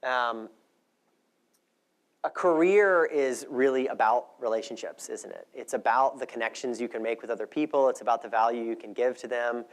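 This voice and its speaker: A man's voice: mid-range voice, normal speed. A man speaking as a conference presenter in a conversational, reflective way, both presenting and answering questions. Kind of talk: conference talk and audience Q&A.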